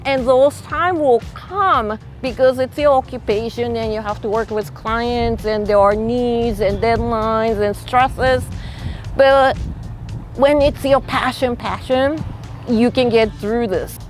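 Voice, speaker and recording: Slow-spoken woman with a Japanese accent. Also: high voice. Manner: relaxed, thoughtful, frequent fillers like "you know" and "like". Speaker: freelance illustrator. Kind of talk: interview-style talk to camera. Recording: soft music behind.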